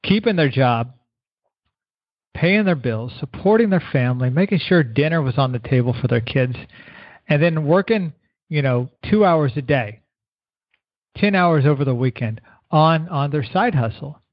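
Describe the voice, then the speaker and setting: A man's voice: on the high side, lively intonation, no echo. Earnest middle-aged man, lo-fi audio. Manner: earnest, repeats words, slows to stress points. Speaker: keynote speaker guest. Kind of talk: motivational interview answers. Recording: dull lo-fi radio sound.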